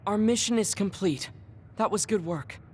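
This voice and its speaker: A man's voice: high-pitched, a little hiss or hum, lightly acted. A man playing a formal, reserved young man. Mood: composed. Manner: formal, measured and polite. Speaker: game character. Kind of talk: dubbed game cutscene dialogue. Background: game music.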